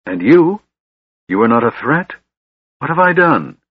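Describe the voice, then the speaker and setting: Man acting a tormented, frail elf king, voice pushed high. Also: very animated, a little slow, breathy edge, no room sound, highs cut off, exaggerated voice. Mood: fearful confusion.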